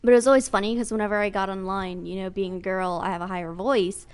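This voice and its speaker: A woman's voice: mid-range voice, steady tone, quick pace, smooth. A woman co-hosting a gaming podcast in casual chat, thoughtful and a little hesitant, with fillers. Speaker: podcast co-host.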